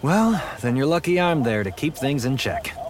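A man's voice: mid-range voice, sing-song, normal speed, lightly acted. An actor playing an easygoing 1930s policeman in a noir audio drama, with casual, good-natured banter. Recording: noisy city street ambience.

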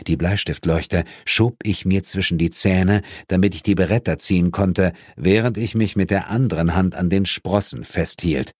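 A man's voice: deep voice, normal speed, few pauses, darkish tone, slightly rough, no echo, clean studio sound. A man narrating a horror audiobook in the first person, in a dramatic scripted style.

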